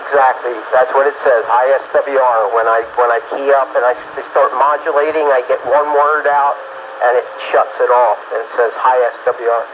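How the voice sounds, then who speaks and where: Male amateur radio operator, tinny phone-like transmission, clear static, halting casual talk. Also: medium pitch, fairly even intonation. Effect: two-way radio transmission. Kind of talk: casual radio chat about equipment. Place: home room, over radio.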